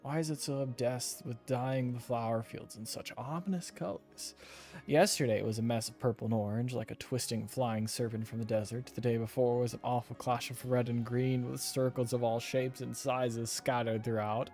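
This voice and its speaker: A man's voice: mid-range voice, steady tone, moderate pace, darkish tone, faint music behind. A man reading game text aloud and commenting casually as he plays. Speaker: game streamer.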